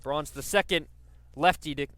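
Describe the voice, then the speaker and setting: A man's voice: fairly high pitch, moderate pace, fairly bright tone. A man doing live radio play-by-play commentary of a baseball game.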